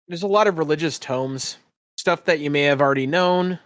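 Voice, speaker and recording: Higher-pitched man narrating a fantasy game. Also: fairly quick, dry recording. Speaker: game master.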